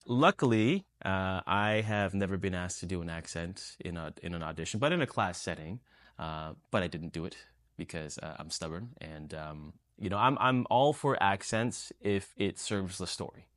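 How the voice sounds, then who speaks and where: Blunt male actor, very low voice, firm delivery, dry close sound. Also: lively intonation, normal speed, fairly bright tone, dry recording. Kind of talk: interview answer.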